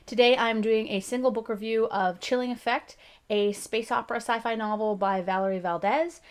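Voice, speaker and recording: A Canadian woman in her thirties with a slightly gravelly voice, hosting a casual book review channel, chatty and self-deprecating. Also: on the high side, fairly even intonation, a little fast. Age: 30s. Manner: chatty and self-deprecating, with frequent laughs and restarts.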